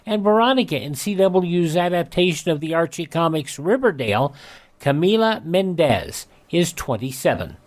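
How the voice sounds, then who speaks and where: Animated American male radio host. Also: on the high side, moderate pace. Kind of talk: scripted radio announcing and ad reading.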